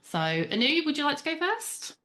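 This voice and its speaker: A woman's voice: high-pitched, very animated, moderate pace, bright, forceful tone. A woman hosting a podcast, asking questions in a playful, upbeat way. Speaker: podcast host. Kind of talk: conversational podcast interview.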